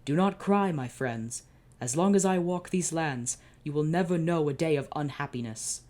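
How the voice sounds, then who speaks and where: A man's voice: on the high side, normal speed, darkish tone, lightly acted. A man voicing a proud young male pharaoh king who speaks in a solemn, declaiming way. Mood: rousing.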